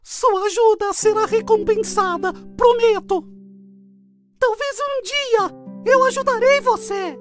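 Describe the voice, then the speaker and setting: Tiny timid boy mouse, extremely high squeak, slow quavering pleading, children's cartoon. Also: faint music behind. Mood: earnest, humble. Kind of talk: children's cartoon fable acting.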